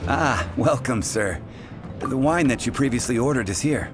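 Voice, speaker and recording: An actor playing a polite, matter-of-fact bartender in a fantasy game, with a dry and formal way of talking. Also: male voice, mid-range voice, expressive, normal speed, music in the background, lightly acted. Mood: courteous. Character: courteous, matter-of-fact male tavern bartender.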